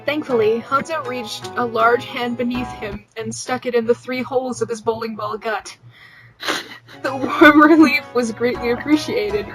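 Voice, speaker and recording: Giggly teasing woman podcaster, kinda high voice, reading stuff out loud casually, music behind her. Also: rough recording. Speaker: podcast host reading fan fiction. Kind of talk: casual reading aloud with banter.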